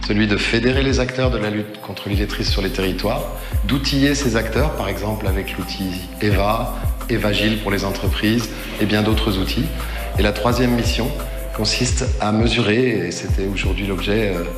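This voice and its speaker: A man giving prepared, formal explanations as an official, in a measured way with hesitant pauses. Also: on the low side. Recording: echoey reverberant sound with music bed.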